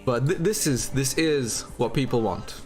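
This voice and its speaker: A man's voice: mid-range voice, measured pace, a little mellow, faint music behind. A man with an Australian accent chatting informally as a livestream host, with some swearing. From Turkey and Australia. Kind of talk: casual livestream chat.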